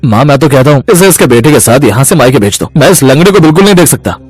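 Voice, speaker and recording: A man voicing a brusque, quick-tempered husband in a moral story. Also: medium pitch, lively intonation, husky. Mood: contemptuous. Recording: music behind the voice.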